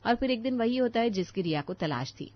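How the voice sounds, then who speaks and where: A woman narrating a scripted moral story in an expressive, dramatized storytelling manner. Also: a little high, brisk, no echo, lo-fi sound.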